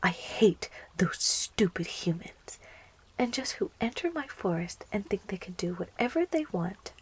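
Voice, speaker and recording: Monster-girl enchantress for ASMR roleplay, played by a woman: lovestruck and clingy, extremely breathy soft whisper, unhurried, coaxing delivery. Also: medium pitch, big pitch swings, exaggerated voice, rough recording. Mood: resentful. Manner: coaxing, cooing, giggly, slow.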